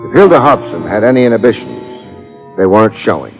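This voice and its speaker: An actor playing a cool, wisecracking private detective in a 1950s radio drama, dry and sardonic. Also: male voice, fairly low pitch, big pitch swings, brisk, music in the background. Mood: wry narration. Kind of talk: 1950s radio detective acting, with first-person narration. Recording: muffled old-time radio recording.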